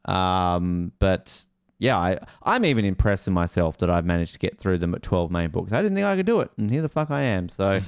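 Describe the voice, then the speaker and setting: A man's voice: deep voice, very animated, a little fast, clear, resonant tone, no room sound, clean studio sound. A man talking informally as a podcast co-host in casual chat.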